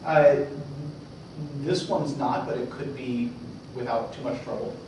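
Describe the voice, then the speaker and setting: A man giving an informal tech presentation to an audience, talking in a casual, halting, conversational way. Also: mid-range voice, fairly even intonation. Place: meetup room with an audience. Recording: echoey room from far off, clear noise.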